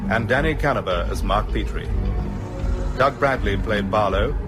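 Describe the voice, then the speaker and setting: A man's voice: on the low side, normal speed. Male radio announcer over music. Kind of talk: scripted broadcast credits announcement.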